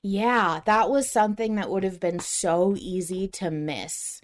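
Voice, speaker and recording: A woman's voice: medium pitch, a little slow. Woman in an emphatic, casual fan chat. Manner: animated, emphatic, repeats phrases for emphasis. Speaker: podcast host. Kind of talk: casual fan discussion of an audio drama.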